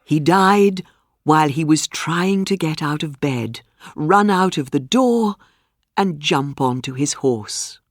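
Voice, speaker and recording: A woman reading a scripted storybook for English learners, with measured, clearly phrased storytelling. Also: sits low, lively intonation, dry recording, studio quality. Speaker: audiobook narrator.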